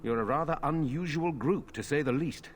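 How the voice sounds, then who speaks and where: A man's voice: a little high, natural voice. A male actor playing a formal, courteous lord burdened with protecting his village in a fantasy video game. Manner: formal, measured. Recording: game audio with faint ambient noise.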